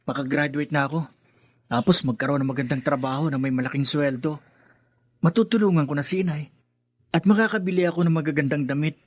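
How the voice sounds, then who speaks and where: An actor playing a poor, hardworking, earnest teenage schoolboy in a radio drama, speaking respectfully and plaintively. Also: male voice, fairly high pitch, fairly even intonation, quick pace, breathy edge, dry recording. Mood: wistful. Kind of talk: Filipino radio drama acting.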